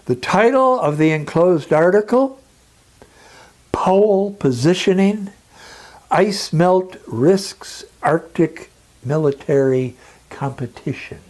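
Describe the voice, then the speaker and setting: A man delivering a scripted, persuasive lecture in a solemn, emphatic manner with dramatic pauses. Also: high-pitched, animated, a little mellow.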